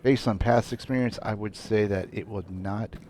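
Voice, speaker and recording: A man casually commenting on his own gameplay, muttering relaxed asides as he plays. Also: lowish voice, moderate pace, a little hiss or hum. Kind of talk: casual let's-play commentary.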